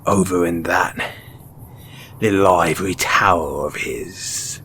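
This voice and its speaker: A sly, cynical merchant of the wasteland acted by a man talks in a lowish voice, taking his time over every phrase. He addresses one listener with knowing, colloquial ease in a post-apocalyptic audio roleplay, over some background ambience. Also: fairly even intonation, pushed and bright, slight background noise, heavily acted. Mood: wry, knowing. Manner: unhurried, colloquial, knowing, with pointed pauses.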